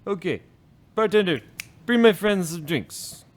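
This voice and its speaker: A man voicing a blunt, boozy, generous Romanian man with a put-on accent, halting and repeating himself as in a comic anecdote. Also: sits high, expressive, voice pushed up. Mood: eager, generous.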